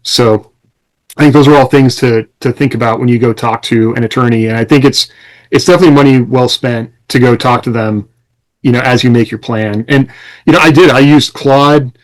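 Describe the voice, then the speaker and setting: A man's voice: medium pitch, fairly even intonation, fairly quick, poor audio. An American man talking casually as a podcast host, explaining things conversationally with examples and quoted lines.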